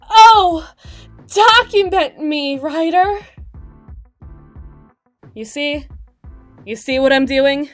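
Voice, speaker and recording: A woman playing a bitter, snarky demon club DJ in an ASMR roleplay audio drama, with a biting, exasperated, foul-mouthed delivery. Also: sits high. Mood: mocking, gushing, then scornful, needling. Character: snarky, crass, fast-talking female demon club DJ, bitter and defensive.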